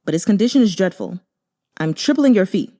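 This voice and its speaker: A woman's voice: medium pitch, animated, fairly quick, dark tone. A woman voicing a cold, condescending, money-loving male master physician in an audiobook story, curt and unruffled. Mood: brusque.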